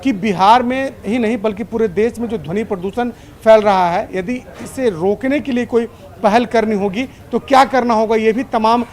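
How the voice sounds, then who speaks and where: High-voiced male news correspondent reporting live. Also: moderate pace, a little mellow. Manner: long run-on explanatory sentences, repeating points.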